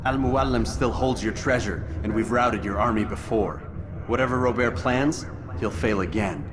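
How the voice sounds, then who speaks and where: An actor playing a stern, proud young assassin in a video game, terse and resolute. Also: male voice, medium pitch, moderate pace, noisy background, natural voice. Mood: cold resolve. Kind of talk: video game cutscene acting.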